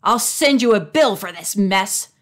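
A woman voicing an outraged male photographer in a storybook, barking forcefully and indignantly. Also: medium pitch, animated, measured pace, lightly acted.

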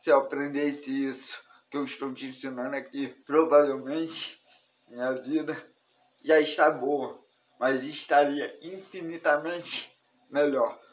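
A Brazilian man in his thirties teaching an online lesson to camera, speaking haltingly in short phrases. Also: mid-range voice, steady tone, rough recording. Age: 30s. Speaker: personal finance instructor. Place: indoors in a furnished room at home.

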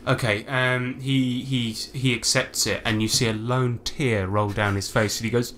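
A man's voice: mid-range voice, fairly even intonation, unhurried, fairly bright tone. A man narrating a tabletop role-playing game as game master, on a noisy home recording.

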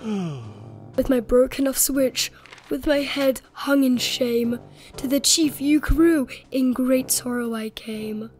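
A woman narrating a rhyming picture book as an expressive, dramatic read-aloud. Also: high voice, slow. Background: music.

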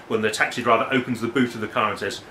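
Bright-voiced man reading aloud in a bookshop. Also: fairly low pitch, steady tone, very fast, a little hiss or hum. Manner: hesitant, self-correcting asides between read passages. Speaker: academic reading at a book launch. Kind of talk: public reading with casual asides. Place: bookshop, indoors. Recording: roomy echo from far off.

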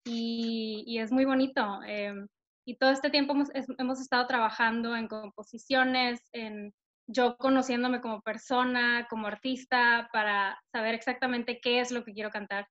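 A woman's voice: a little high, fairly even intonation, normal speed, a bit bright, muffled recording. A young woman from northern Mexico with a northern Mexican accent, chatting upbeat with many fillers in an interview over a video call. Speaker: singer-songwriter guest. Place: bright room at home. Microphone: laptop camera on video call.

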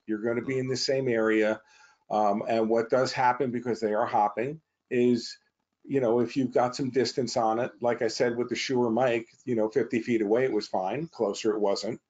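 Man, company guest, informal technical explanation, medium pitch, muffled lo-fi video call. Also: fairly even intonation, normal speed, a little mellow. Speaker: company representative, webinar guest.

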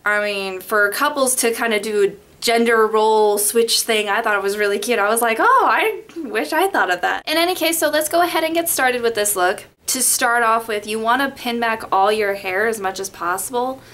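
A woman's voice: a little high, animated, normal speed, a bit bright. A young woman from Las Vegas, chatty and rambling, talking through a makeup tutorial into a webcam in her bedroom. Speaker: beauty vlogger. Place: bedroom at home. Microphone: webcam in front.

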